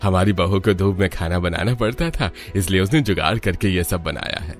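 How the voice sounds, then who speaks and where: Man doing a low-voiced, modest village father-in-law. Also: animated, normal speed, soft background music. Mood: modest, approving. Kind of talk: Hindi cartoon character dialogue.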